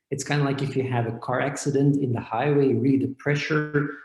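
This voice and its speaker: A man from Belgium, a company founder, explaining his work step by step in a measured way over a video call. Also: medium pitch, darkish tone. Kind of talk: explanatory interview answers. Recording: echoey room.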